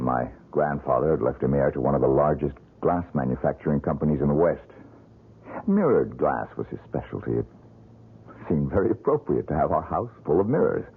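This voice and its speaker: An actor playing a poor, bitter painter haunted by one recurring nightmare, talking in a brooding, self-mocking way in a radio drama. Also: male voice, rumbling low, creaky, breathy edge, full character voice. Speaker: struggling painter. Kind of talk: 1970s radio drama acting. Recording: tinny old radio broadcast, faint music.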